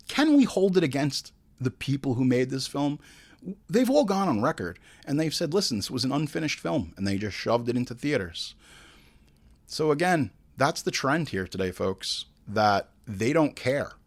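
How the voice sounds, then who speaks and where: A man hosting a casual, comedic review show, talking in an emphatic, exasperated, slangy and profane way. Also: on the high side. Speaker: host of a movie review show.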